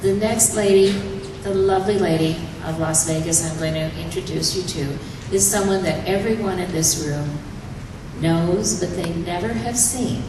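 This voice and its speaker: Woman host doing a formal intro, lowish voice, far off in a very echoey hall with noise. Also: moderate pace. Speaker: event host introducing a speaker. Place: event room. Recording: echoey room, from a distance, noisy.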